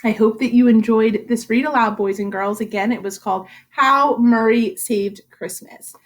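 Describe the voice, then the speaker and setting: A woman reading a rhyming storybook aloud to children as a teacher, with an expressive, rhythmic delivery. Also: on the high side, normal speed. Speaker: elementary school reading teacher.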